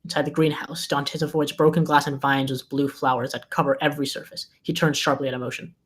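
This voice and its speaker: A narrator reads screenplay directions aloud in a scripted delivery. The voice sits at a middling pitch. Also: level tone, fast talker, husky.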